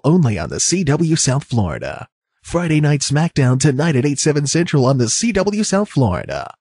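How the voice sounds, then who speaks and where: Male promo announcer, higher-pitched and highly animated, punchy polished broadcast read. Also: breathy edge, dry recording, clean studio sound. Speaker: television promo voice-over announcer. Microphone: close studio voice-over microphone.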